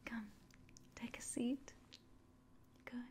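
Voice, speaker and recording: A woman in her twenties doing an ASMR roleplay as a doctor, speaking softly and often whispering in a polite, measured way. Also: on the high side, steady tone. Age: 20s. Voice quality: soft, breathy, often whispering. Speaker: ASMR performer. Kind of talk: ASMR roleplay as an alien doctor giving an exam. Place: small quiet room indoors.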